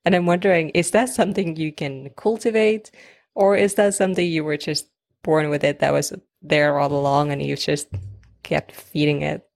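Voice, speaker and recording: A woman's voice: low-pitched, steady tone, normal speed, vocal fry, darkish tone, soft background music. A woman from Finland hosting an interview, relating to her guest and asking long, considered questions. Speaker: interviewer host. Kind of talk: casual interview conversation.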